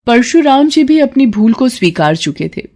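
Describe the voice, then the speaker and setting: Very animated female storyteller. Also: a little high, normal speed. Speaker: narrator. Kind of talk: scripted devotional storytelling.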